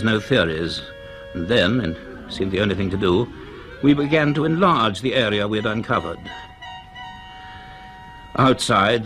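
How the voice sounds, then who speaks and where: An actor playing an earnest, commanding British university professor in a radio drama, speaking in a measured, deliberate, authoritative way. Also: male voice, fairly low pitch, a bit bright, natural voice. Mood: grave, intrigued. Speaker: actor playing the lead and narrator. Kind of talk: radio drama dialogue and first-person narration.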